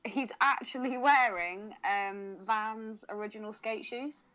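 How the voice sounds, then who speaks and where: A woman's voice: medium pitch, slow, bright, forceful tone, poor audio. A woman co-hosting a podcast, chatting casually about films with teasing, sweary banter. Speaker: podcast co-host. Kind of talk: casual film chat.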